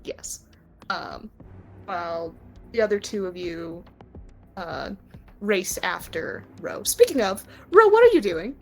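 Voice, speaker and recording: A woman's voice: mid-range voice, very animated, takes it slowly, creaky, long pauses, darkish tone, faint music behind. A woman acting as game master, narrating and explaining the scene to players in an easy conversational way. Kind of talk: narrating and refereeing a tabletop game, unscripted.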